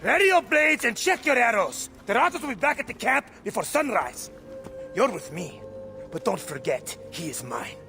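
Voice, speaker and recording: A man's voice: very high voice, sing-song, normal speed, gravelly, sharp tone, faint music behind, heavily acted. An actor playing a fiery commanding leader in a video game, declaiming his words. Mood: rousing. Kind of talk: video game dramatic acting.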